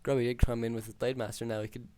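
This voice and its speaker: A man calling a strategy game match alone, talking through plays with many ums, his voice hoarse after hours of casting. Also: medium pitch, rapid-fire, no room sound. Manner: steady, filler-heavy analytical play-by-play. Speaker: game caster. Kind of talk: solo esports play-by-play commentary.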